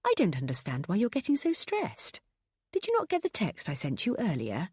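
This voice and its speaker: A grandmother coos with false sweetness and a quiet menace underneath, as a woman narrator puts her on. The voice is low and husky. It is read dry and close to the mic. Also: wildly swooping pitch, normal speed, no echo, exaggerated voice. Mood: cooing.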